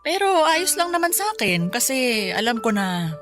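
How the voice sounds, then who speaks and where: An actress playing a kindly middle-aged mother in a radio drama, with a Filipino accent and a gentle, reflective, measured way of talking. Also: fairly high pitch, sing-song, over music. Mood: warm, curious. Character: kindly, reassuring mother of a student son.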